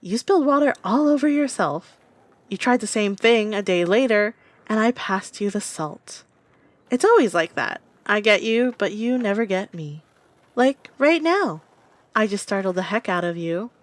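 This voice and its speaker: A woman playing a smug, excitable young prankster, bantering and boasting playfully in a comedic audio roleplay. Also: medium pitch, normal speed, dry recording, lightly acted.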